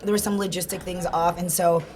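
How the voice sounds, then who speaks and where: A woman with a raspy, strained voice, a ring announcer chatting casually on a podcast. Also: fairly low pitch, brisk, slight background noise. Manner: lively, laughs often. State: strained, worn voice. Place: room in a club, after a show.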